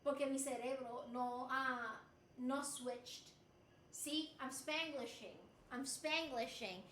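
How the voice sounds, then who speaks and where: A woman's voice: high-pitched, slow pace, reverberant, a little hiss or hum. A woman with a slight, Americanized Puerto Rican accent and Spanish as her first language, chatting casually and playfully as a livestreamer. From Puerto Rico. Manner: playful, expressive streamer delivery, switches into Spanish. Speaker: livestreamer reading and answering chat.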